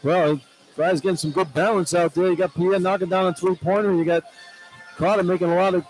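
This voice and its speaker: A man's voice: sits high, fairly even intonation, a little fast, soft background music. A man giving conversational live analysis as a basketball radio color commentator, on a headset at courtside. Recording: faint arena noise behind.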